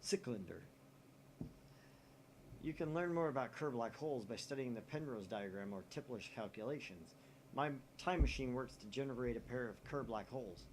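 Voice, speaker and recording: A man's voice: medium pitch, animated, moderate pace, slight background noise, poor audio. Man reading game text in shifting voices. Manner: reads chat posts in shifting voices, swears casually. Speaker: let's play host. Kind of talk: reading a visual novel aloud.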